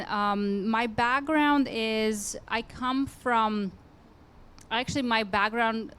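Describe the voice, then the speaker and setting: A woman's voice: mid-range voice, a bit bright, a little hiss or hum. Earnest woman on a conference panel. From Bulgaria. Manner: earnest, pausing, restarts phrases. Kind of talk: panel self-introduction. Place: conference hall stage. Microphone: handheld microphone.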